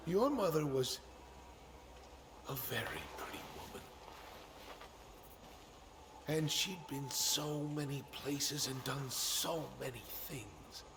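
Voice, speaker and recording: Cowardly, defensive middle-aged politician, swooping voice. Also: male voice, a little high, big pitch swings, reverberant, noisy background. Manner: defensive, halting, pleading. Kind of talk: dramatic video game cutscene acting.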